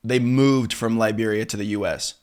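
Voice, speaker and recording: A man's voice: lowish voice, fairly even intonation, moderate pace, clear, resonant tone. A man hosting an interview, asking curious questions and affirming the answers in a casual way. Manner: curious, affirming, many fillers. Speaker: podcast host, interviewer.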